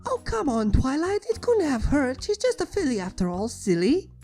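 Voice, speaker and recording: Woman doing a silly cheerful cartoon pony girl, super high and bouncy, playful, music behind. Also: wildly swooping pitch, normal speed, dark tone, full character voice. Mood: playful, breezy. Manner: playful, breezy, reassuring. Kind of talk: fan fiction character reading. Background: background music.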